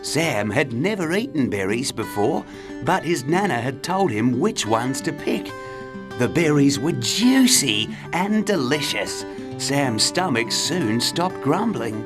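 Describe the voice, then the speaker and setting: A woman's voice: extremely low pitch, big pitch swings, takes it slowly. A woman narrating a scripted children's story as a storyteller. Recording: guitar music behind narration.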